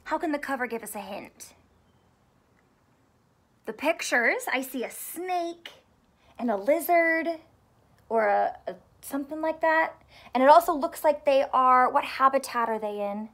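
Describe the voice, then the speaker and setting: A woman in her thirties, a primary school teacher reading aloud to young children in an expressive, carefully enunciated way and asking them questions. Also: high voice, unhurried, pauses often. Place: small home room with sofa and soft toys.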